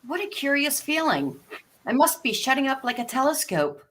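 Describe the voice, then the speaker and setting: A bright, slightly high storybook girl played by a woman, curious and polite. She talks to herself with wonder, as a well-mannered child who likes to reason things out. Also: very animated, moderate pace. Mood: amazed. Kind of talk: storybook live read.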